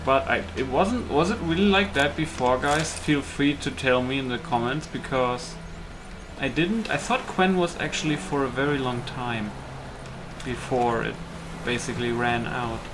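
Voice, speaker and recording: A man's voice: medium pitch, moderate pace, background noise. A man giving casual let's play commentary as a gaming host, thinking aloud in a hesitant way. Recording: loud music behind the voice. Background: music.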